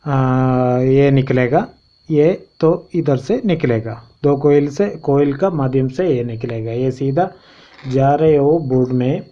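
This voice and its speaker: A man giving an informal step-by-step repair tutorial, explaining in a halting, repetitive way. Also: medium pitch, warm tone. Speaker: phone repair instructor and channel host. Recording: dull lo-fi home recording.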